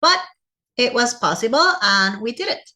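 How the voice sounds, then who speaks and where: A woman's voice: a little high, very animated, normal speed, sharp tone. A woman from Costa Rica with a Costa Rican Spanish accent in English, speaking as a teacher giving a personal presentation to fellow teachers.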